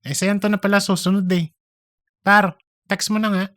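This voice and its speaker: A man's voice: high voice, level tone, brisk, sharp tone, dry recording. A man voicing an incredulous, easily amused office buddy with blunt slangy reactions in a comic audiobook. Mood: amused griping. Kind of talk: scripted comic audiobook dialogue.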